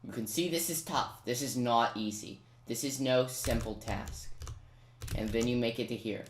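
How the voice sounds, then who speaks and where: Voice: medium pitch, moderate pace. A person giving a casual step-by-step video game guide, talking directly to viewers with chatty asides. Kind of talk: casual step-by-step gameplay commentary. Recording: roomy echo, noisy, keyboard clicks.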